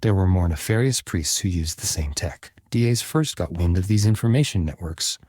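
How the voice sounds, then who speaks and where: A man narrating scripted fiction like an audiobook, in a measured, literary storytelling manner. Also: deep voice, lively intonation, darkish tone, breathy edge, no room sound, clean studio sound. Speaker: author narrating own serialized fiction podcast.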